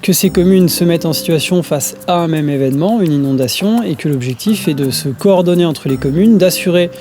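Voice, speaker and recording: A man giving a measured explanation in an interview. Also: fairly high pitch, darkish tone, a little hiss or hum. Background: music.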